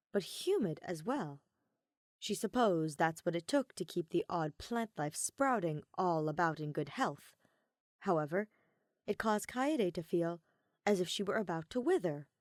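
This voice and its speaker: A woman reads a fan fiction story aloud with calm, steady storytelling. Her voice sits fairly low. The recording is dry and close, with no room sound. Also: measured pace, dry recording. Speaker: podfic reader. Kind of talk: scripted fiction narration.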